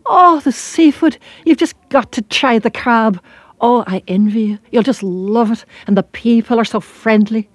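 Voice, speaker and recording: A woman storyteller voicing a gushing young Irish check-in clerk, with a soft Irish accent and an emphatic, rhapsodic way of talking. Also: fairly high pitch, sing-song, brisk, dry recording, voice pushed up. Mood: delighted, gushing.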